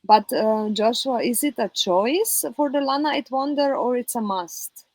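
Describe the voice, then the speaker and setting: A woman with a fairly high voice checks details in a group call, confirming each point with short questions. Her voice sounds dry and close. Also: a little slow, a little mellow, no room sound.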